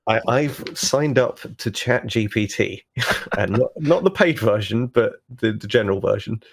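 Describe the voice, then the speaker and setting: A man's voice: lowish voice, expressive, unhurried, gravelly. A man talking casually as a podcast player, bouncing ideas around in conversation. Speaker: tabletop role-play podcast player. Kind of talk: casual brainstorming chat.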